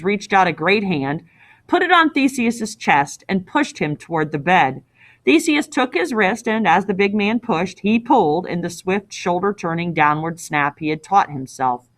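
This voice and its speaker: A woman reads a story aloud in the manner of a teacher. Her medium-pitched voice moves expressively up and down, and she slips into casual explanation. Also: a bit bright.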